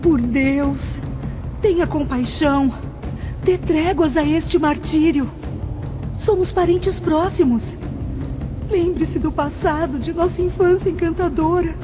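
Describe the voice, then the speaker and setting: Anguished pleading wife, melodramatic, imploring, high voice, muffled recording, loud music underneath. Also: female voice, slow pace. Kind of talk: audiobook drama acting. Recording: dull lo-fi, music behind.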